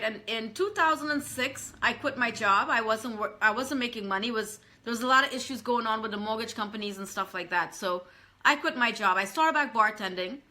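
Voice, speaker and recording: Woman, bright voice, earnest, repetitive, live-stream coaching talk. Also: on the high side. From Trinidad and Tobago. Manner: earnest, repeats herself, often calls out to "guys". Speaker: business coach.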